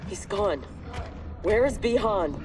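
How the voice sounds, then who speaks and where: Cyrax, a lively armored warrior. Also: female voice, mid-range voice, takes it slowly. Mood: urgent. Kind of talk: video game cutscene acting. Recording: music over the voice.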